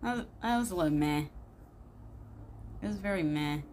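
A woman's voice: on the low side, animated, moderate pace, darkish tone. A young woman giving casual, blunt, slangy reaction commentary as a video host, recorded indoors on a phone. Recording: clearly noisy room recording.

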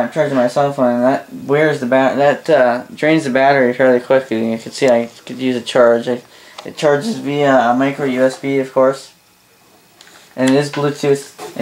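A Canadian man casually demonstrating a gadget as a reviewer, halting, rambling and correcting himself as he goes. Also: mid-range voice, little pitch movement, darkish tone, a little hiss or hum, poor audio. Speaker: product reviewer. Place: small indoor room at a desk.

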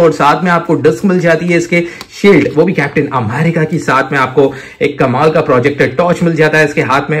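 Guy doing a toy showcase, kinda high voice, super enthusiastic, keeps gushing to viewers. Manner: excitable, repetitive exclamations of amazement. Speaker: toy review presenter.

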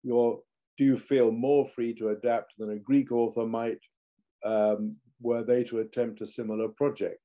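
An older man chairing a video panel, reading out audience questions in a measured, polite way. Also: mid-range voice, flat delivery, warm tone. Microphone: video call.

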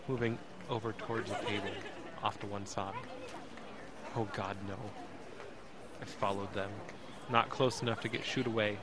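A man playing a frightened young man, narrating a tense ordeal in first person in an audio drama, halting and intense. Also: fairly low pitch, natural voice. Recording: busy airport crowd behind.

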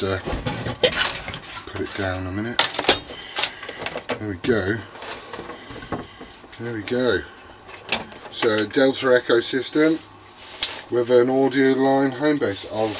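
A British man talks slowly and casually, as if half to himself, with swearing and joking along the way. His pitch is middling for a man. The recording is very noisy, with clicks from handling things in a small room. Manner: sweary, jokey, muttering to himself while handling items. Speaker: video host unboxing CB radio gear. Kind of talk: casual unscripted vlog chat. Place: small indoor radio workshop. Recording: noisy room, loud background noise, clicks.

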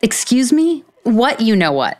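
A woman's voice: fairly high pitch, big pitch swings, a little slow, a little mellow. An actress playing a blunt, sarcastic, self-deprecating woman writer in a naturalistic romantic comedy audio drama. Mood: awkward.

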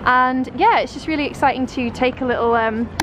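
A woman in her twenties vlogging about her teacher training, talking loudly and brightly to a handheld camera. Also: sits high, fairly quick, noisy background. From England. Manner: loud, bubbly and upbeat. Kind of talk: casual vlog chat. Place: indoor office and city street. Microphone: handheld camera at arm's length.

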